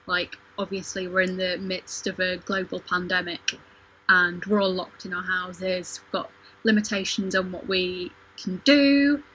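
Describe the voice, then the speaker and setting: A woman speaks informally in a panel discussion. Her voice is clearly bright and of average pitch, and she phrases things loosely, as in everyday conversation. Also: rough recording. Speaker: theatre company performer on a panel. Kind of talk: casual chat.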